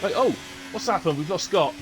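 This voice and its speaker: A man's voice: high-pitched, animated, fairly quick, over music. A man with a chest infection giving informal live sim racing commentary and casual chat as the host, in short running phrases.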